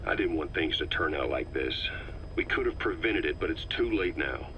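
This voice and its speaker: Low-voiced stern military officer. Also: male voice, lively intonation, fairly bright tone. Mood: grave, measured. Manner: measured, authoritative, accusing. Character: stern, hard-line male military intelligence officer, coldly pragmatic. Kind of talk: video game audio drama acting. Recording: dull, hissy cassette tape recording.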